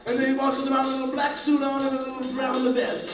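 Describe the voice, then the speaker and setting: A man's voice: extremely high pitch, completely flat delivery, fast. A man doing a bumbling German room-service clerk. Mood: eager. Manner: broken English, eager and confused. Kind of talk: live comedy sketch. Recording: echoey live hall, distant mic, muffled, music behind.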